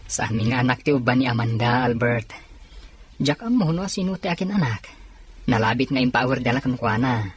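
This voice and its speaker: A man's voice: mid-range voice, expressive, fairly quick, breathy edge. A man playing a hospitable husband and friend in an Ilocano audio drama. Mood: explaining, concerned. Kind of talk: Ilocano radio-style drama acting.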